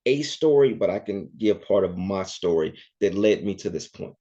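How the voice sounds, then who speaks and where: A man with a low, mellow voice talks earnestly and reflectively as a guest on a casual interview. The audio sounds dull and a little lo-fi. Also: animated, moderate pace. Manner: earnest and reflective, with soft laughs. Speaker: podcast guest, entertainer and author.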